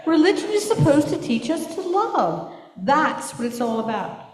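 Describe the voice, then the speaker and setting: Earnest sweet puppet lady, squeaky high, sing-song range, echoey room. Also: big pitch swings, normal speed, slight background noise. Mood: worried. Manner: earnest, pleading, addresses children. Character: sweet, gentle, worried female puppet moral guide. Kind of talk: live children's puppet show. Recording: echoey church hall, distant mic.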